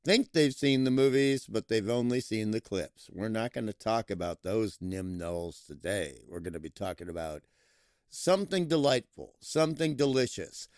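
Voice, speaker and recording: A man giving a casual, playful film review, with lively emphasis that he stretches out for effect. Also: lowish voice, no room sound, studio quality. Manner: playful, animated, drawn-out emphasis. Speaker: movie reviewer.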